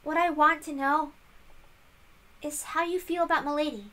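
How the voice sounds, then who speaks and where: A woman's voice: high voice, steady tone, normal speed, fairly bright tone, pitched above the performer's own voice. A woman voicing an earnest, insistent young female servant with a big-sisterly air, in a visual novel reading. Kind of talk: visual novel read-aloud character voice.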